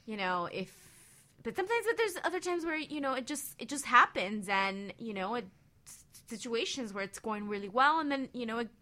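Bright-voiced, rambling woman panelist. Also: medium pitch. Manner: rambling, loosely phrased. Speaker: radio show panelist. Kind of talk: casual chat.

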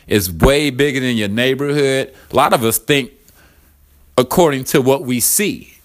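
A middle-aged man casually answering a listener question in an upbeat, conversational way, repeating phrases for emphasis. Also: medium pitch. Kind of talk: casual recorded monologue.

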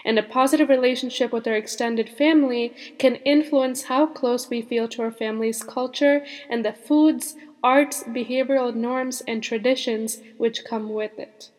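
A woman's voice: a little high, fairly even intonation, normal speed, few pauses. A teenage young woman, co-hosting a youth podcast in a scripted, structured way. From born in India, moved to the United States before kindergarten. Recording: reverberant room with long echo.

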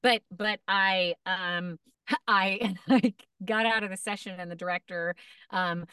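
A woman guest on a podcast tells stories about her work in an animated, stop-and-start way. Her voice is bright and crisp. The recording is dry, with the mic set a little away from her. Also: mid-range voice, slow, no echo, recorded from a distance. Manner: animated, many restarts and fillers. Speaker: voice actor guest. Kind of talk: casual podcast storytelling.

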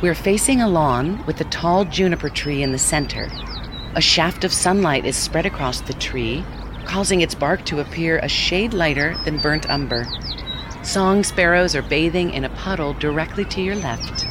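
An actor voicing a helpful AI seeing-eye app assistant in an audio drama, with a polite, measured, instructive delivery. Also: medium pitch, noisy background, close to an everyday voice. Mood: polite, informative. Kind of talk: audio fiction acting, synthetic assistant delivery.

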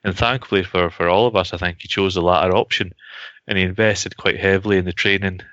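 A man's voice: low-pitched, quick pace, dry recording. A man giving analysis on a podcast, explaining at length with frequent restarts. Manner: flat, even explaining with repeated restarts. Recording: dull lo-fi sound.

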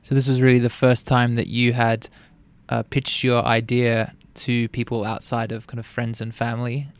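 A man interviewing a guest, calmly asking follow-up questions. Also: medium pitch, moderate pace, clean tone.

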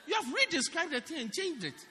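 A man preaching a dramatic sermon as pastor in a church, swinging from loud shouts to quiet asides. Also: extremely high pitch, sing-song, a little fast, big-room sound.

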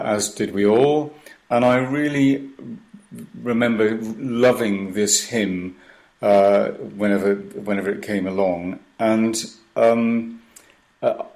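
A man in his sixties from Wales, a baritone singer, speaks as a guest on a radio show from his own home. His voice sits fairly low. He talks in a rambling, hesitant way, often breaking off and starting again. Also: rough recording. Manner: rambling, hesitant, self-interrupting. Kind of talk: radio interview conversation.

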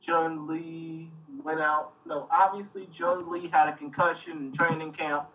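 A man's voice: on the high side, little pitch movement, a little slow, a bit bright, far from the mic, a little hiss or hum, poor audio. A man co-hosting a casual podcast chat, swearing freely with dry teasing. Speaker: podcast co-host.

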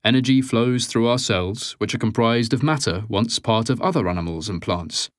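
A man reading scripted nonfiction as an audiobook narrator, with measured, articulate delivery. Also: mid-range voice, no echo, studio quality.

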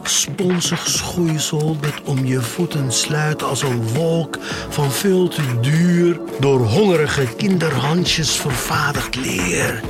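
An actor playing a defiant, nostalgic middle-aged collector in a theatrical, halting audio drama monologue. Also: male voice, a little high. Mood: savouring. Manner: confiding, theatrical, halting and emphatic. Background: music.

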